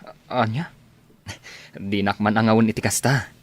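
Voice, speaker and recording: A man's voice: fairly low pitch, steady tone, a little slow, natural voice. A man playing a defensive joker in an Ilocano radio drama. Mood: startled.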